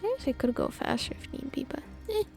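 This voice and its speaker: A woman's voice: high voice, sing-song, fast talker, gravelly. A woman streaming a game with casual commentary, murmuring short reactions and playful interjections to herself. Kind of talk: casual live gameplay commentary. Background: game music.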